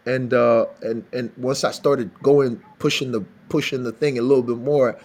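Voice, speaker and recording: A man from Benin, West Africa, who sings for a living and has a distinctly raspy voice. He chats in an animated, storytelling way, laughing often, at an everyday middle pitch. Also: normal speed. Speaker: singer and songwriter guest. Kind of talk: casual interview chat.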